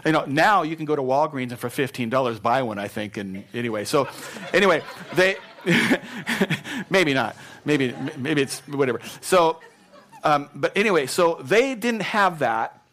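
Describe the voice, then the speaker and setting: A middle-aged man preaching a sermon in a conversational, animated way, joking and acting out quotes, then softening to an earnest tone. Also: fairly high pitch, normal speed. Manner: softens to a gentle, earnest tone when encouraging. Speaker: pastor. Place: church.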